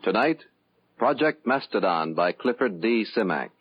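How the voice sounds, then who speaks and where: A man's voice: on the low side, lively intonation, moderate pace, husky. A man announcing in dramatic 1950s radio style, grand and declamatory. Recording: muffled 1950s radio broadcast recording. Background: loud theme music.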